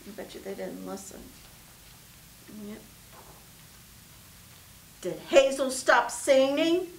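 High, animated woman reading a storybook. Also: slow pace, creaky. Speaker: children's librarian. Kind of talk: animated picture-book read-aloud. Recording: roomy, echoey sound.

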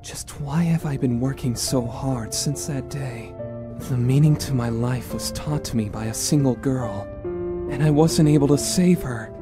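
A man's voice: medium pitch, a little slow, over music, lightly acted. A man playing a weary, selfless young man in an anime fan dub, earnest and subdued. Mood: despairing.

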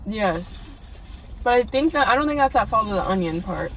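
A woman's voice: mid-range voice, expressive, normal speed, a little hiss or hum. A young adult woman giving her frank, opinionated take in a casual food review chat from a parked car. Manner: candid, opinionated, laid-back. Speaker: host's daughter, co-reviewer. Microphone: phone camera on the dashboard, a bit distant. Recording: slightly distant phone mic in a car.

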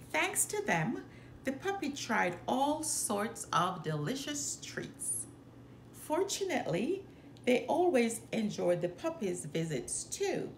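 A middle-aged woman reading a children's storybook aloud with careful, expressive phrasing, as a storyteller. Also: on the high side. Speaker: storyteller, channel host. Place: indoor living room by a fireplace. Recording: roomy echo, some background noise.

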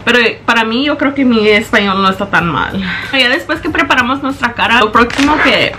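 A woman's voice: a little high, lively intonation, a little fast, over music. A young woman filming a makeup tutorial in her bedroom, talking into a camera in front of her and explaining each step in chatty Spanish with English words slipping in. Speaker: beauty vlogger. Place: small bedroom indoors. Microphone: camera in front at close range.

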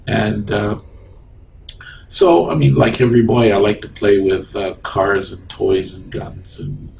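Casting: Egypt-born man in his sixties with Arabic as a first language, low and gravelly voice, halting and full of ums, recorded from a distance with a hiss behind. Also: fairly even intonation, a little mellow. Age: 60s. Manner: hesitant, many ums and restarts. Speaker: interviewee, engineering professor. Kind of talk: oral history interview. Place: small indoor office. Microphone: lapel mic.

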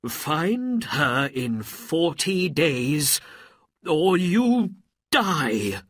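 Imperious male ruler for a children's tale, read by a woman, wrathful, husky, forceful and deliberate. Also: sits low, big pitch swings, no echo, studio quality, pitched above the performer's own voice, full character voice. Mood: stern threat. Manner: loud, imperious, demanding. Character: angry, commanding Sultan, father of a princess.

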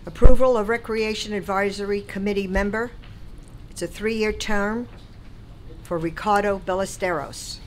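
A woman's voice: mid-range voice, fairly even intonation, moderate pace, a little hiss or hum, rough recording. A woman council member speaking formally at a meeting in a large chamber, making a motion into a desk microphone. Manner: formal, procedural.

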